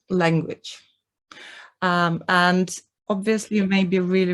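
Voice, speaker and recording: A woman from Ukraine with a Ukrainian accent, whose first language is Russian, giving an earnest online presentation over a video call, with frequent ums and restarts. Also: low-pitched.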